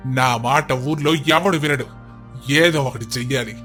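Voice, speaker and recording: Man playing a haughty, sharp-voiced village landlord. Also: medium pitch, unhurried, faint music behind, natural voice. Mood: haughty, scheming.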